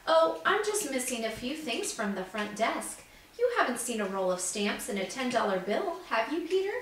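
Echoey, expressive storybook guesthouse keeper voiced by a woman. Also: a little high, normal speed. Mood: friendly. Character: friendly, puzzled woman running a guesthouse. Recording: echoey room, distant mic, background noise.

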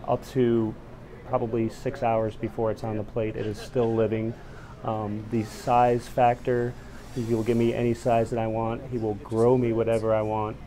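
Dark, flat-toned young man, noisy restaurant. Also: on the low side. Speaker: chef, customer giving a testimonial. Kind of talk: interview testimonial. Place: restaurant dining room. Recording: clearly audible restaurant background noise.

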